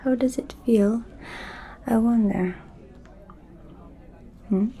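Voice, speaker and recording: Woman acting as an ancient vampire lady, asmr roleplay, breathy mellow whisper, super slow n seductive, kinda menacing. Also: a little high. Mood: coolly intrigued. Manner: slow, deliberate, pausing, intimate.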